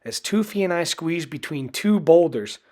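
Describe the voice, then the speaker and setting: A man reading a children's storybook aloud in an expressive, dramatic way. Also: a little high, moderate pace. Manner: expressive, dramatic delivery with acted character voices. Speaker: storybook reader.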